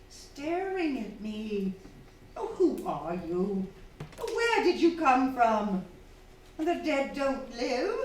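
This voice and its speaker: A woman's voice: high-pitched, full character voice. A woman playing a domineering, suspicious and fearful wife in a radio drama, with an agitated, demanding, theatrical delivery. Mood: fearful, fixated. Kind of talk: stage radio drama acting. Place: theatre stage before a live audience. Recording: very echoey hall, distant, faint noise.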